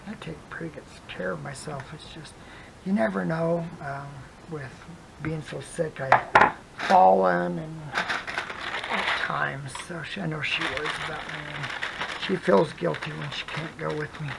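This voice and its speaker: An adult craft vlogger chatting casually while working, with a hoarse voice that keeps cutting in and out, rambling softly and drifting into whispers. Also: mid-range voice, noisy background.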